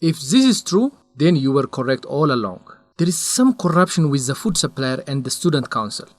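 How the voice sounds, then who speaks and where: A man's voice: a little high, big pitch swings, moderate pace. A man acting as a cautious, lovelorn young friend in a radio drama, speaking earnestly. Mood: surprised, concerned. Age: young adult. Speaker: actor in an educational radio drama.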